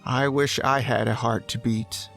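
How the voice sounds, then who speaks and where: Woman voicing a deep, sad tin woodman. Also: fairly even intonation, measured pace, gravelly, soft background music, heavily acted. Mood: sad, wistful. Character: sad tin woodman, male, wistful and heartless. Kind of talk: children's storybook character voice.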